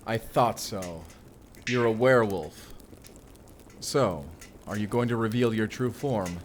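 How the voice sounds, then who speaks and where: Male, actor as resolute monster hunter for audio drama; lowish voice, earnest and measured, noisy ambience behind. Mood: guarded. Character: determined Spanish vampire slayer, adult man, earnest protector. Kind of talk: cinematic audio roleplay acting.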